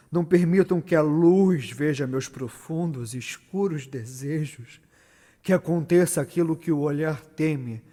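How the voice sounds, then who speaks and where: A man with a Brazilian accent playing an ambitious, brooding nobleman in a theatrical Shakespearean monologue, declaiming solemnly with measured pauses. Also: medium pitch, warm tone, close to an everyday voice. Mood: dark, secretive. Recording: reverberant room with long echo.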